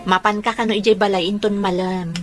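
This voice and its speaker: A woman playing a shy young adult daughter in an audio drama, gently protesting. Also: mid-range voice, fairly even intonation, brisk, loud music behind the voice, lightly acted. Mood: matter-of-fact.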